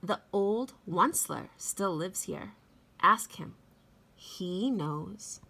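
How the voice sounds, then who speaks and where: A middle-aged woman talking and reading aloud to young children in an expressive teacher's manner. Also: mid-range voice, takes it slowly, gravelly. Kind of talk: children's read-aloud with chatty introduction. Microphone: phone at arm's length.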